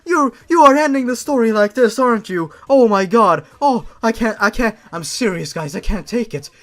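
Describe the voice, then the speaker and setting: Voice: mid-range voice, lively intonation, normal speed. A host giving casual comedic reaction commentary on amateur fiction, melodramatic and exasperated, swearing freely. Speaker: host of a fan fiction reading series.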